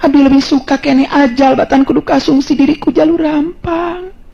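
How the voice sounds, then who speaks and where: A man's voice: very high voice, fairly even intonation, moderate pace, vocal fry, warm tone, pitched above the performer's own voice. A man voicing a helpless young captive noblewoman with a Sundanese accent, plaintive and imploring in a radio story. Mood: pleading, desperate. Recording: dull lo-fi radio-style recording.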